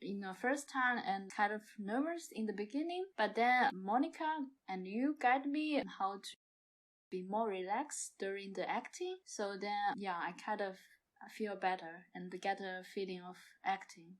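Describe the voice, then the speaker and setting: Young female podcast guest, Chinese accent, mid-pitched, informal conversation. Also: a little slow. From China. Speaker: podcast guest, amateur theatre member. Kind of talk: casual chat.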